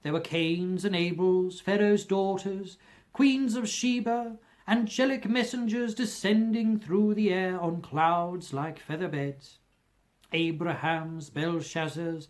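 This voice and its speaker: An amateur audiobook reader narrating a classic ghost story in a theatrical manner, with emphatic swells and drops in volume. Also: medium pitch.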